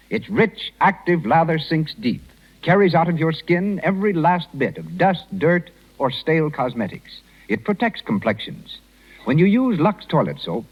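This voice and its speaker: Animated 1930s American radio announcer, male. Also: a little high, slightly rough. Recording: dull 1930s radio recording.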